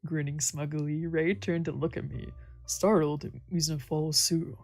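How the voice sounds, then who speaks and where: Low, husky woman reading a story aloud. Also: steady tone, normal speed, darkish tone. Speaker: streamer reading a visual novel aloud. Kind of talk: dramatic read-along narration with character dialogue.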